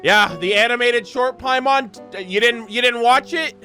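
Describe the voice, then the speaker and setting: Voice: mid-range voice, normal speed, bright, forceful tone, soft background music. A game streamer reacting casually to a story as it plays, exclaiming in slang and swearing freely.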